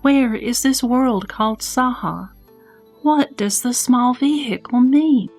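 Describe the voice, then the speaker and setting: Lady voicing a curious reverent bodhisattva, high voice, really slow and formal, audio drama. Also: a little mellow, soft background music. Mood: reverent curiosity. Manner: formal, deliberate questioning. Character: curious, reverent bodhisattvas of a fragrant heavenly land.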